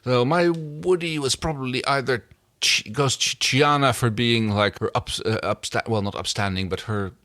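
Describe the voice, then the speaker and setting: A man's voice: mid-range voice, normal speed, bright, forceful tone, dry recording, clean studio sound. A man chatting casually as a podcast co-host about a TV show, hesitant and often rephrasing mid-sentence. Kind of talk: casual TV episode discussion.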